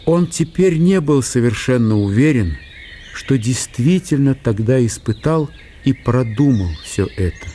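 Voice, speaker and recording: A man's voice: mid-range voice, expressive, unhurried, mellow, a little hiss or hum. A man narrating a literary historical radio drama as a scripted storyteller.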